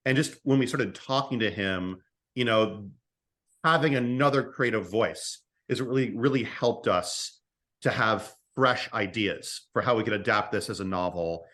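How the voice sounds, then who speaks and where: A man's voice: on the low side, unhurried, a bit bright. A man speaking as an interview guest and writer, casually discussing creative work, often repeating and restarting his phrases.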